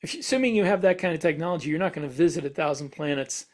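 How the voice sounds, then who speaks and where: A man's voice: fairly high pitch, lively intonation, rapid-fire, darkish tone. A man talking casually as a podcast host, explaining ideas and thinking aloud as he goes. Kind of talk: casual discussion.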